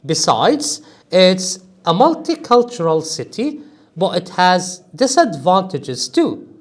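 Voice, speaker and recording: A man teaching an English speaking-test class, emphatic and animated, joking with his students. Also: high-pitched, slow pace. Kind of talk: classroom lecture.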